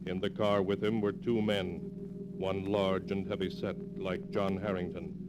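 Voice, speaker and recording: A man giving solemn, dramatic, measured narration in the style of a 1940s radio mystery. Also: sits low, flat delivery, very noisy. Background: loud dramatic music.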